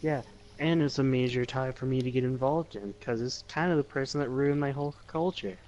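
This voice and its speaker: A man's voice: mid-range voice, a little fast, darkish tone, muffled recording. A man chatting casually as a player in a tabletop game, joking in a blunt, sweary way. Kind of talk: casual chat. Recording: faint background noise.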